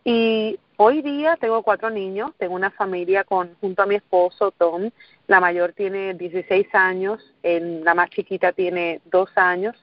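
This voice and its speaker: A woman's voice: medium pitch, fairly even intonation, a little slow, no echo, highs cut off. A middle-aged woman from Puerto Rico with a Puerto Rican accent, giving an earnest motivational talk and repeating phrases for emphasis. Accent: Puerto Rican Spanish. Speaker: motivational speaker. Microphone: recorded call or conference line.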